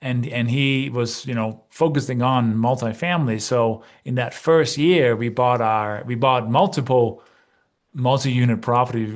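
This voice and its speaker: A man in his fifties, originally from Denmark, chatting easily as an interview guest and peppering his talk with "you know" and "right?". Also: medium pitch. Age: 50s. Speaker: guest, real estate investor and coach. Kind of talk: casual interview conversation.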